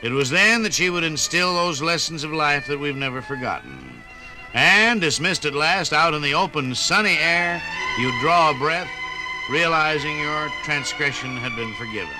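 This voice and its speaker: A man's voice: a little high, animated, moderate pace, over music, low-quality recording. An American man narrating nostalgically in 1950s radio style, with dramatic, evocative storytelling.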